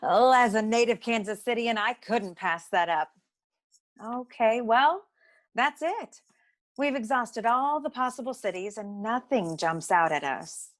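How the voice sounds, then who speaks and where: Detective's no-nonsense female partner played by a woman, slightly high pitch, wry, matter-of-fact, comic noir radio play. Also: unhurried, natural voice. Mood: puzzled, insistent.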